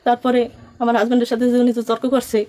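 A woman's voice: a little high, monotone, very fast, warm tone. A young woman gives a rambling, pleading personal account, quoting past conversations as she goes. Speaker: complainant being interviewed.

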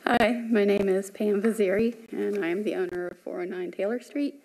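A woman's voice: mid-range voice, steady tone, normal speed, darkish tone, poor audio. A woman homeowner with a light voice speaking at a public hearing, nervous and friendly, with "um" and "uh" fillers. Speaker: homeowner applicant. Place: large municipal meeting room. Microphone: podium microphone.

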